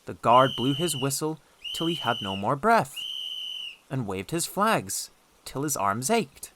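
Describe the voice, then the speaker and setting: A man narrating a scripted children's story as an audiobook, expressive and deliberate in his storytelling. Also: medium pitch, slight background noise.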